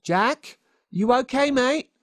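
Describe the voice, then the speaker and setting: A man voicing an excitable, eager young schoolboy adventurer in a storybook. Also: very high-pitched, slow, no echo, clean studio sound, pitched above the performer's own voice, full character voice. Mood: concerned.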